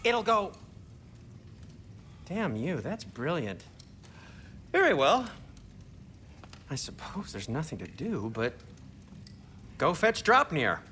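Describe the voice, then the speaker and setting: A man voicing a fussy, anxious, excitable dwarf craftsman in a video game, speaking in a fretful, flustered way. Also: a little high, slow, pauses often, slight background noise, heavily acted. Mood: doubtful, then impressed. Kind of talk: animated video game acting.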